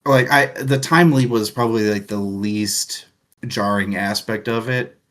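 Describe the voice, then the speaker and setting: A young man with a low-pitched voice talks slowly and loosely on a book chat stream. He rambles through his opinions, laughing often and dropping the odd crude joke. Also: a bit bright. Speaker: book discussion co-host. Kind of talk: casual chat.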